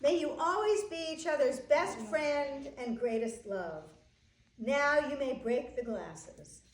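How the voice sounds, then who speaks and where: A woman playing a solemn, benevolent wedding officiant in a staged reading, speaking formally and in a measured way. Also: high voice, lively intonation, heavily acted. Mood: solemn, celebratory. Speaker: actor. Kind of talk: staged play reading, ceremonial. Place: echoey room, live staged reading. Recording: echoey room, distant microphone.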